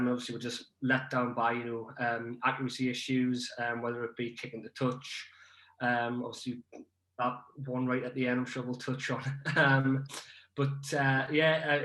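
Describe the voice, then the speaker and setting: A man's voice: mid-range voice, a bit bright. A young man chatting about rugby as a podcast guest, hesitant, with many ums and restarts. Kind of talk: casual podcast chat. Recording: echoey room.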